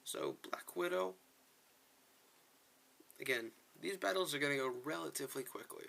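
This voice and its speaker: A man's voice: a little high, lively intonation, moderate pace, vocal fry, sharp tone. A man hosting a gaming video with casual gameplay commentary, often repeating words for emphasis.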